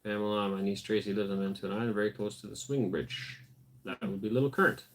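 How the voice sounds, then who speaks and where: A man with a somewhat low voice hosts a late-night homestead livestream, tired and unhurried. He reads viewer comments aloud and answers in a relaxed, thinking-aloud way. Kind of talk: casual livestream chat, reading viewer comments.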